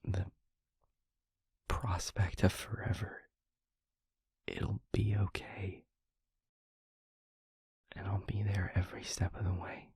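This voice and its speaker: Man acting as haunted vampire boyfriend, low husky whisper, slow halting pauses, earnest, intimate audio roleplay. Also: little pitch movement, no room sound, exaggerated voice. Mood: tender, reassuring. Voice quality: breathy, husky near-whisper. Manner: halting, hushed, earnest delivery with heavy pauses. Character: tender, haunted male vampire boyfriend confessing past despair.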